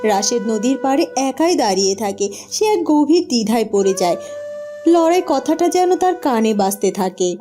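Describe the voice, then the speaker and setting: A woman's voice: fairly high pitch, very animated, normal speed, warm tone, soft background music. A woman giving scripted storybook narration for a cartoon folk tale.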